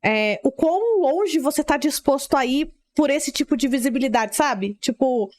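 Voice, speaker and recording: A woman's voice: high-pitched, lively intonation, normal speed, darkish tone. A woman streamer reacting casually to a video, chatty and hesitant, with disgusted asides.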